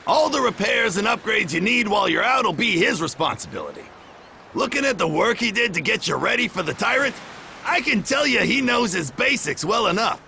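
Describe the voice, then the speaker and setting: Blunt hearty shipwright boss for a game, bright forceful gravelly voice, super animated. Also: male voice, sits high, a little fast, a little hiss or hum, heavily acted. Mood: approving. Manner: blunt, hearty, rough colloquial talk. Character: gruff, boisterous veteran shipwright mentor, tough but approving. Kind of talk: video game cutscene voice acting.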